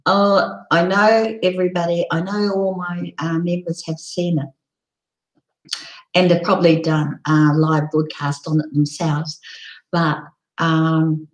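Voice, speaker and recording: A woman with a croaky, hoarse voice, hosting a casual live broadcast and rambling with many ums. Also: lowish voice, flat delivery, measured pace, echoey room, rough recording. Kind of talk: casual live-stream chat.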